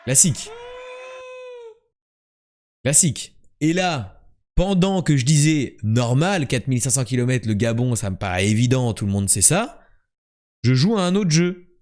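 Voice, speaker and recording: A man's voice: mid-range voice, lively intonation, unhurried, pauses often, darkish tone. A young man from France streaming word and geography games, joking and boasting as he chats with his viewers. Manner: joking, boastful, chatty with the chat. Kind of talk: casual live-stream commentary.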